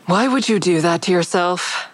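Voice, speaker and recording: A woman's voice: lowish voice, moderate pace, no room sound, close to an everyday voice. An actress playing a guarded, callous woman who narrates her own thriller in a restrained, probing way. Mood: guarded, tense. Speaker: narrator and lead character. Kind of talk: first-person audio drama narration and dialogue.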